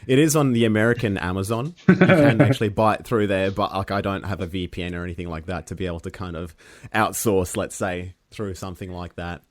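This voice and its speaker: A man's voice: deep voice, a little fast, no room sound. A man whose first language is Serbian, a musician chatting as a guest, rambling with many fillers and restarts. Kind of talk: casual interview chat.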